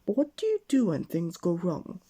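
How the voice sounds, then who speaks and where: A man reading a children's storybook aloud at bedtime, gently addressing the young listener. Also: high-pitched, wildly swooping pitch, normal speed, breathy edge.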